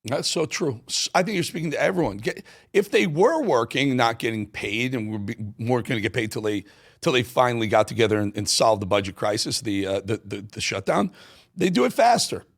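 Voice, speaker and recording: A man's voice: medium pitch, animated, a little fast. An American man hosting a talk show, giving emphatic, opinionated political commentary and repeating phrases for punch.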